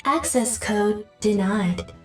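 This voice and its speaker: A woman's voice: mid-range voice, slow pace, natural voice. Woman acting cool game computer adjutant, clipped formal delivery, echoey, slightly distant, music behind. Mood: impersonal.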